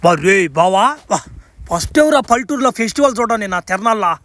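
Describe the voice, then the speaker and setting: A man's voice: high voice, lively intonation, quick pace, fairly bright tone, faint music behind. A man voicing a brash, teasing, foul-mouthed young man in a cartoon comedy. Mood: excited, nosy. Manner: cocky, slangy, foul-mouthed.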